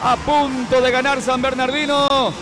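A man with a Paraguayan Spanish accent calling a youth futsal match live, with quick, excited play-by-play that climbs at scoring chances. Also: very high voice. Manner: rapid, excited calls that rise near goal chances. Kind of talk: live sports commentary.

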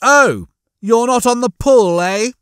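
A man's voice: extremely high pitch, expressive, takes it slowly, roomy sound, pitched above the performer's own voice, full character voice. A man voicing a vain, touchy, sneering male officer in an indignant, pompous manner for a comic audiobook.

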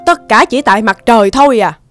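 Aggrieved cartoon buffalo over music. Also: female voice, a little high, animated, quick pace, a bit bright. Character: hardworking, aggrieved female water buffalo. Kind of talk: animated fairy-tale acting.

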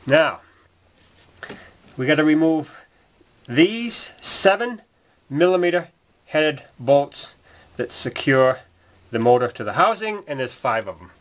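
A man's voice: on the high side, very animated, takes it slowly, pauses often. A man giving casual hands-on how-to commentary in a folksy, plainspoken way, as a repair tutorial presenter. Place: garage workshop, at engine bay and workbench. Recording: clearly noisy workshop recording with clicks. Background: noise, tool clicks.

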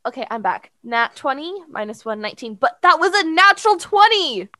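A woman's voice: high-pitched, big pitch swings, brisk, noisy background. A woman chatting casually as a player in a tabletop role-playing game.

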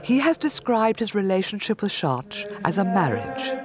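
A woman reading a documentary narration in a measured, expressive way. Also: medium pitch, a crowd in the background. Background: music.

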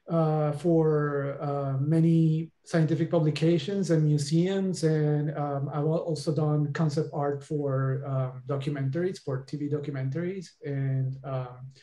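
Guest paleoartist, man from Venezuela; mellow, slightly high voice, halting, echoey bedroom video call. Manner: halting, many fillers and restarts. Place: bedroom at home. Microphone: video call with earbuds.

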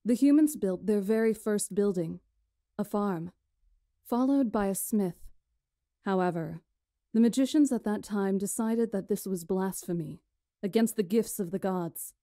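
A woman playing a stately magician in a visual novel, recounting history in a measured, formal, solemn way. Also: medium pitch, dark tone, dry recording, studio quality, lightly acted. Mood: solemn, proud. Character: stately female magician elder recounting ancient history to lords. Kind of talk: visual novel character acting, storytelling.

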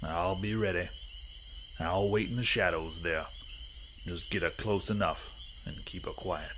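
Terse western villain, low pitch, folksy, plotting, loud cricket night ambience. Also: male voice, measured pace, slight background noise. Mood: furtive. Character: scheming, terse male western outlaw lying in wait. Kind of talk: western audio drama acting. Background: crickets and insects.

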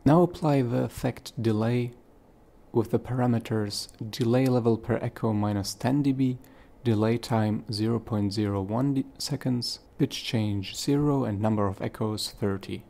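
Gravelly-voiced man narrating a tutorial. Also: medium pitch, normal speed, mellow.

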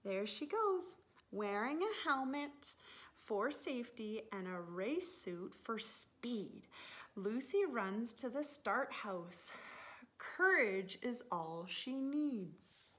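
Animated, higher-voiced woman reading slowly to children. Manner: animated, with gasps and drawn-out words. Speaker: teacher reading a picture book to young pupils. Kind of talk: expressive children's story time read-aloud. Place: living room, indoors on a sofa.

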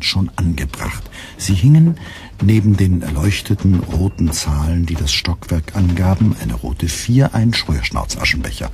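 A man narrating a literary radio drama in a measured, deadpan manner. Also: deep voice, background noise. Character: deadpan male narrator of a satirical story.

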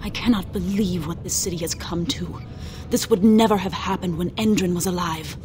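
Brief: actress as a proud, solemn dwarf noblewoman in a fantasy game; lowish voice, measured delivery. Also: darkish tone, natural voice. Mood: grave. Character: proud young female dwarf noblewoman, grave. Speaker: game character. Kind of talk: video game voice acting. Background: game music.

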